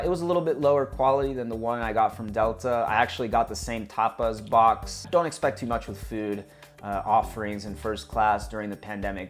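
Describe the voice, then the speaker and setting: Low-voiced American man reviewing a flight. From United States. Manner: chatty, direct to viewers, uses fillers. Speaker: travel vlogger. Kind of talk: casual flight review narration.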